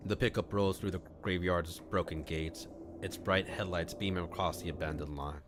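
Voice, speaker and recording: A man's voice: low-pitched, fairly even intonation, normal speed, background noise. A man streaming a read-aloud of a visual novel, narrating it as a storyteller. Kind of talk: storytelling narration with acted dialogue.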